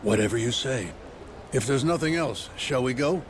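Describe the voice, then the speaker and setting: A man's voice: mid-range voice, lively intonation, normal speed, lightly acted. An actor playing an anxious, formal ship's crewman in a video game, fussy and wordy, with noise around him. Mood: apprehensive. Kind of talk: video game dialogue acting. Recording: clear outdoor ambient noise.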